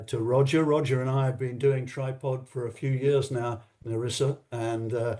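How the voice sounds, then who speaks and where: Effusive man with a mellow voice. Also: medium pitch. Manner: effusive, formal phrasing. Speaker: co-host. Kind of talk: podcast hosting, scripted introductions.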